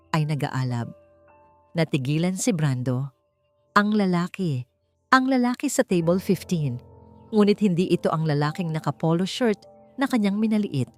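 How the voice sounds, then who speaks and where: A woman narrates a dramatic audiobook in a fairly low voice. She reads in a measured, scripted way with pauses for suspense, over background music.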